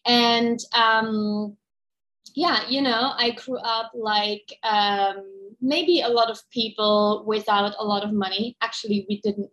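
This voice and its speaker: A woman's voice: fairly high pitch, fairly even intonation, takes it slowly, a bit bright, poor audio. A woman from East Germany with a German accent, telling her life story as a podcast guest in a candid, rambling way with many ums. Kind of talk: casual interview chat.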